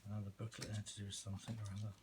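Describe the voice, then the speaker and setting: A man's voice: fairly low pitch, level tone, rapid-fire. A man chatting casually while reviewing and unboxing a product, with informal, chatty asides. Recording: very noisy recording, rustling and clicks.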